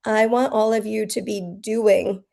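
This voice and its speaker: An American woman with a raspy, hoarse voice from the flu, teaching a bookkeeping webinar in a friendly, chatty way with many fillers. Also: mid-range voice, steady tone, measured pace, mellow. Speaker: bookkeeping instructor. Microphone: computer mic on a video call.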